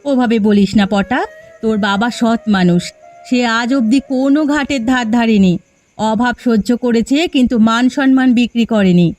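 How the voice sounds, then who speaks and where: A woman's voice: fairly high pitch, normal speed, darkish tone, soft background music, close to an everyday voice. A woman voicing an honest, caring aging mother in a cartoon who scolds her son affectionately. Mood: scolding. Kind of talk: Bengali cartoon dubbing.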